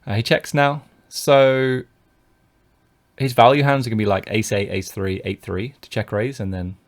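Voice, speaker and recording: A man's voice: mid-range voice, steady tone, normal speed. A British man, a poker coach, commenting casually on his own live-streamed tournament play and talking through each decision. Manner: thinks aloud with many fillers and restarts.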